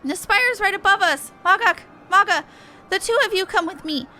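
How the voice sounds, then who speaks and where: A woman's voice: very high-pitched, moderate pace, pushed and bright. A woman voicing a small, earnest moogle guard creature, plucky and exclaiming, reading game dialogue expressively. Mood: alarmed, urgent.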